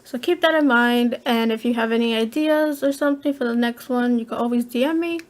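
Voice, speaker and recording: Soft-spoken young woman hosting a podcast. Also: fairly high pitch, brisk. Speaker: podcast host. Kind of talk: casual podcast chat.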